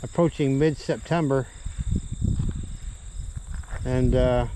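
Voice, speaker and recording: A man's voice: mid-range voice, steady tone, slow pace, noisy background. An older man hosting a fishing vlog outdoors, chatting in an easygoing way and talking to the fish as he reels them in. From Canada. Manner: easygoing, chatty, talks to the fish while reeling. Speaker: fishing channel host. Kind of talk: casual outdoor vlog narration. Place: outdoors by a ditch, opening in a vehicle.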